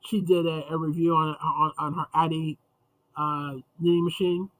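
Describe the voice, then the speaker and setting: A man's voice: on the high side, flat delivery, normal speed, a bit bright, poor audio. A man hosting a casual livestream chat, rambling and chatty with frequent restarts, sounding congested and sniffly. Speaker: livestream host.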